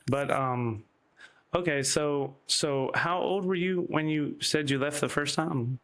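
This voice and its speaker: A man's voice: a little high, slow pace, a bit bright. A man hosting a casual interview, asking rambling questions full of ums and restarts. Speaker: host.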